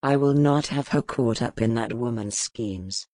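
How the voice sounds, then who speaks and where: A woman voicing a suspicious, gruff nobleman who speaks bluntly and scornfully. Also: a bass voice, unhurried, darkish tone, no echo, studio quality, voice pushed down.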